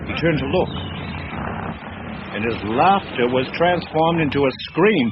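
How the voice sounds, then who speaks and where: An actor playing a grave heir recounting his family's cursed heirloom tale in measured, literary radio-drama storytelling. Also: fairly high pitch, heavy background noise, close to an everyday voice. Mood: suspenseful. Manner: measured, literary, deliberate delivery. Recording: muffled radio drama with music underneath.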